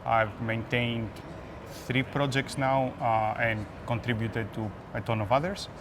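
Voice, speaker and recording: A man from Greece speaks with a Greek accent as an interview guest. His voice sits at a medium pitch and he pauses between phrases as he explains things. Noticeable urban background noise runs behind him. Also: steady tone. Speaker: guest, application security expert. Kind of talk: conversational interview answers. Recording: noisy urban ambience behind voice.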